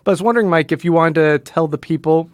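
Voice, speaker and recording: A man's voice: a little high, fast, clear, resonant tone, dry recording. A man co-hosting a podcast, talking casually about comic books in informal, slangy phrasing. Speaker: podcast co-host. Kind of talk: casual comic book discussion.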